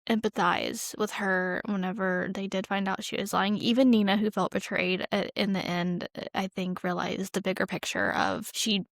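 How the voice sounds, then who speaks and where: A woman's voice: medium pitch, fairly even intonation, moderate pace, few pauses, dry recording, studio quality. A woman co-hosting a podcast, talking through films in a casual, conversational way peppered with "like". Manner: informal, conversational, often says like. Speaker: podcast co-host. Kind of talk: casual film discussion chat.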